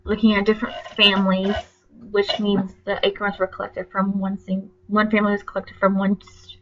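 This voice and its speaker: A woman's voice: medium pitch, completely flat delivery, lo-fi sound, background noise. Stuffy-nosed young woman presenting a class project. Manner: plain, a little halting, with frequent ums and self-corrections. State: congested from allergies. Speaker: student presenter.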